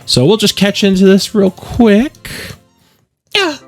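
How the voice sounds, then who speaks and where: Male let's play host, very animated pitch, casual gaming commentary, music underneath. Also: slow pace. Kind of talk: gaming commentary and read-aloud narration of a visual novel.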